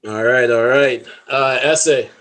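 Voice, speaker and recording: A man's voice: medium pitch, unhurried, fairly bright tone. A man with a Toronto accent hosting a casual panel podcast. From Canada. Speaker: podcast host.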